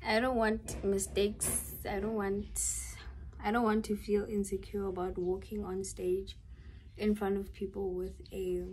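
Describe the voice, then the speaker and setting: Young woman, vlogger, chatty, giggly, unhurried, soft dark tone. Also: mid-range voice, slight background noise, rough recording. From South Africa. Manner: chatty, giggly, thinks aloud with restarts. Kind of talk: casual vlog chat.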